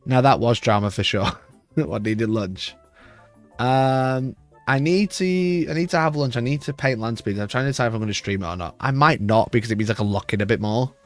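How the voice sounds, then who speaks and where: A man with a rough, hoarse voice, chatting casually on a livestream and rambling with frequent restarts. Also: medium pitch, brisk, faint music behind. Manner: rambling, restarts mid-sentence. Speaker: livestream host.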